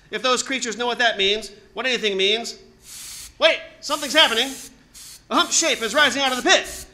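A man playing an excitable radio field reporter giving a halting eyewitness account in a 1930s radio drama. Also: very high-pitched, full character voice. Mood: panicked, shouting. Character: male radio reporter, awed and increasingly panicked eyewitness.

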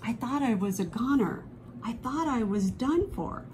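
A woman reading a children's picture book aloud expressively, with dramatic drawn-out emphasis on key phrases. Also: on the high side, animated, a little mellow, slight background noise. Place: indoors at a table, book held before camera.

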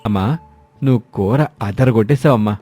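A man voicing a fond, encouraging young village-born husband in an animated moral story, over music. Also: lowish voice, normal speed, breathy edge. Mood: cheerful.

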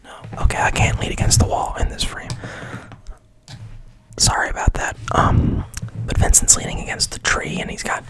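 A man recapping a film in a soft whisper, rambling, swearing casually and pausing with fillers. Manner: rambling, profane, hesitant.

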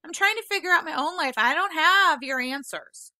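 A woman's voice: sits high, expressive, normal speed, sharp tone, dry recording, pitched above the performer's own voice, heavily acted. Reclusive woman, blunt, matter-of-fact, dry close sound, woman reader. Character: calm, blunt reclusive woman living alone.

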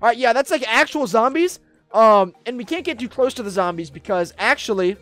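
Young male American gaming host, high-pitched and very lively, chatty roleplay commentary over music. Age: young adult. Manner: excitable, chatty, addressing viewers directly. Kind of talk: casual roleplay gameplay commentary. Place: indoor room gaming setup with webcam.

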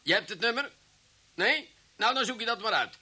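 A blustery police commissioner in a radio play. The voice is high and very sharp, with lively swings of pitch. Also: male voice, normal speed, breathy edge, exaggerated voice. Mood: impatient. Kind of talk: old Dutch radio drama acting.

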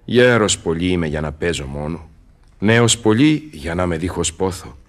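A world-weary old scholar from a radio play, with a low voice. He declaims his verse gravely, bitter yet commanding. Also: expressive. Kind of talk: Greek radio theatre verse acting.

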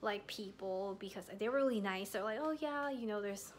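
A young woman in her twenties, raised in the US, rambling casually to her phone camera in a hotel room, filling every pause with "like". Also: medium pitch. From Thai, raised in the US. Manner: rambling, heavy use of "like", soft and chatty. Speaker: travel vlogger. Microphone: phone camera at arm's length.

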